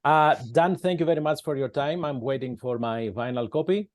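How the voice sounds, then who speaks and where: A man with a Greek accent, whose first language is Greek, asks friendly, halting questions over a video call. He laughs often between his words. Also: a little high. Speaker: interviewer, podcast host. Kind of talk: casual video-call interview.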